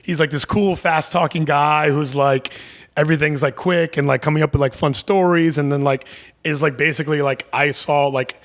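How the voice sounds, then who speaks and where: A man in his thirties from the Miami area, a podcast guest with a deep voice, talking in a rambling way full of fillers and restarts. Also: fairly even intonation, normal speed. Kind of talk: casual podcast conversation.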